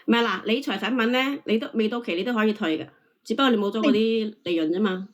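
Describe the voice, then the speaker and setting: A woman's voice: medium pitch, quick pace. A woman debating on a call-in show, insistent and argumentative, often repeating her points. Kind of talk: heated casual debate.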